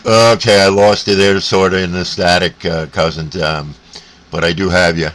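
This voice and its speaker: A man chatting casually as a CB radio operator, halting and repeating radio jargon. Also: deep voice. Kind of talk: casual radio contact chat. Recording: steady radio static and hiss.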